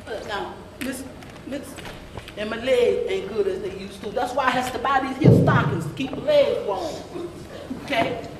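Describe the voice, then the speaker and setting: A woman's voice: a little high, moderate pace, lightly acted. A woman playing an old field-hand grandmother in a play, folksy, scolding and rambling, with a drawling delivery. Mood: rambling, tired. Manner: folksy, rambling, calls listeners baby. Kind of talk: amateur gospel stage play monologue, storytelling. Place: church sanctuary stage, mid-sized hall. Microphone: camera some metres away. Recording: roomy church hall, distant camera mic, audience noise.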